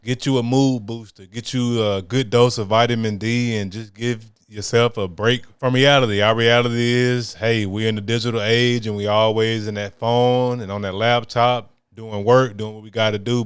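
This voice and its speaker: A man's voice: medium pitch, clear, resonant tone, no room sound, studio quality. A man hosting a podcast, talking in an upbeat, slangy, conversational way. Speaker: podcast host. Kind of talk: casual podcast talk.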